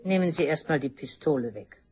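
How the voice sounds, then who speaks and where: A woman's voice: sits low, expressive, brisk, a little mellow, slight background noise. An actress playing an evasive, curt older woman doctor in a crime radio play. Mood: guarded, evasive.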